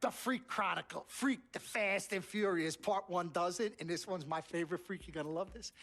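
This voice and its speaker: A man's voice: high voice, moderate pace, fairly bright tone. An American man playing a brash, cocky sports agent in a video game cutscene, talking like a boastful salesman. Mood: pitching, excited.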